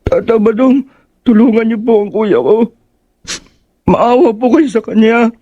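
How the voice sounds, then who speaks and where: A man's voice: very high voice, measured pace, dark tone, dull audio. An actor playing an anxious young boy pleading for his older brother in a horror audio drama.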